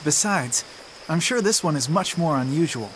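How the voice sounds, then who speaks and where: A man's voice: fairly high pitch, expressive, normal speed, slight background noise, close to an everyday voice, rough recording. A man playing an earnest, protective teenage boy in a video game cutscene, caring, impassioned and a little awkward. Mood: awkward, tender.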